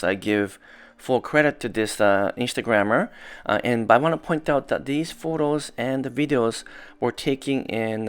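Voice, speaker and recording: A middle-aged man from Japan hosting an automotive video, explaining details methodically with frequent filler pauses. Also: mid-range voice, clean tone. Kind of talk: casual explanatory vlog.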